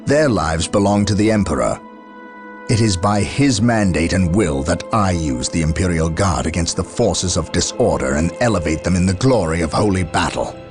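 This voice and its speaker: An actor playing a stern, proud space marine captain in a game cutscene, speaking with formal authority. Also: male voice, low-pitched, lively intonation, moderate pace. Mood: stern, defiant. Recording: loud music behind.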